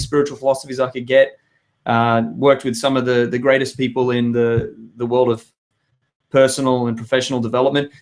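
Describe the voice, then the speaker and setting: An Australian man in his thirties with a hoarse voice, hosting a live web show and chatting with viewers in an upbeat, rambling way. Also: medium pitch. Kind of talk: live webinar chat to viewers.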